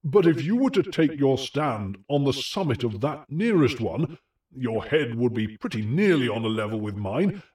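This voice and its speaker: A man voicing an immense, weary giant in a storybook, boastful and hearty, with a grandly deliberate delivery. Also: very animated, a little mellow, distant mic, close to an everyday voice. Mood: coaxing, measured. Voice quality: big, deep, rough voice as scripted.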